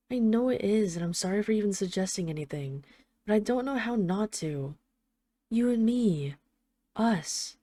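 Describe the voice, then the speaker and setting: A woman's voice: mid-range voice, warm tone, dry recording, natural voice. A woman playing a tough but tender, jealous mafia boss, speaking intimately to an absent partner in a scripted audio roleplay voicemail. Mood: hurt, insecure. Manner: intimate, halting, sweary, addressing an absent lover.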